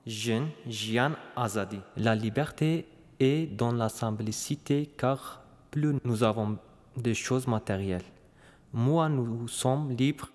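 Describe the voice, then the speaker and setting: A man's voice: mid-range voice, slow, darkish tone. A man from Turkey with a Turkish accent, delivering short scripted statements. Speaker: exhibition participant. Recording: long reverb, faint music.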